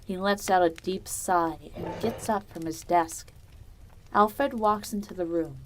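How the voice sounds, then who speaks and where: A woman's voice: lowish voice, unhurried, slight background noise, low-quality recording. A woman reading scripted narration for a dramatic audio story.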